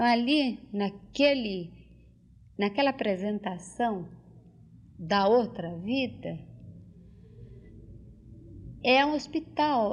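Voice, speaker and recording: An older woman talks at an unhurried, deliberate pace, stopping often to hunt for the right word. Her pitch sits in an ordinary middle range. The old tape recording sounds muffled and lo-fi. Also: a little mellow, a little hiss or hum. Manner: hesitant, searching for words with many restarts. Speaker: interviewee. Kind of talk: casual spoken reminiscence.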